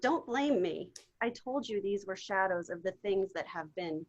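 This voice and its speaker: A woman playing a calm, firm spirit guide in an amateur table read of a Christmas ghost story. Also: medium pitch, normal speed, lo-fi sound, natural voice.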